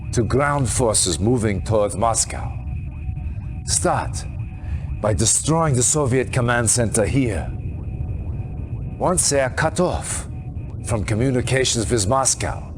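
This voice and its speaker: A stern, formal military commander, delivers a game briefing at a slow, deliberate pace. His mid-pitched voice swings widely with wry, theatrical emphasis, over prominent background music. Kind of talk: scripted game cutscene acting.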